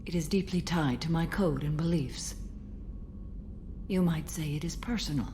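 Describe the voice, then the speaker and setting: A woman's voice: sits low, normal speed, dark tone, slight background noise, close to an everyday voice. A woman playing a serene, ancient alien warrior in a video game, speaking formally and with solemn composure.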